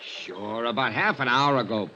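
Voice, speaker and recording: A man's voice: medium pitch, normal speed, sharp tone, muffled recording. An actor playing a chatty, folksy American male clerk in 1950s radio style. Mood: chatty, insistent. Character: chatty, casual male clerk, easily puzzled. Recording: noisy vintage radio drama recording.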